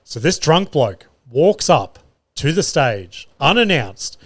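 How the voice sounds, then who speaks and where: A man's voice: a little high, big pitch swings, slow. Casual sweary man. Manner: loose and sweary, laughs at his own jokes. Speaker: podcast host and comedian. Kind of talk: casual solo podcast storytelling. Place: studio.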